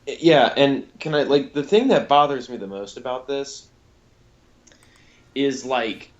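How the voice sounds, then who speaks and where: A man's voice: mid-range voice, measured pace. A man co-hosting a podcast, giving casual critique in a rambling, emphatic way on slightly lo-fi audio. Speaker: podcast co-host and reviewer.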